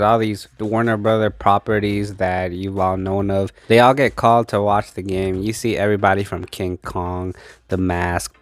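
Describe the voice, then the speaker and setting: A man hosting a movie review channel, sounding congested and tired as he recovers from losing his voice, speaking close into a microphone. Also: no echo. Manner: low-energy, tired. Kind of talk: casual spoken review. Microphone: speaking close into a mic, voice-over.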